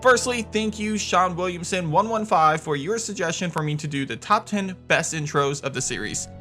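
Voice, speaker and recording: A man's voice: high-pitched, animated, normal speed, pushed and bright. A man hosting a video game countdown, reading scripted narration in an enthusiastic, emphatic way. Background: music.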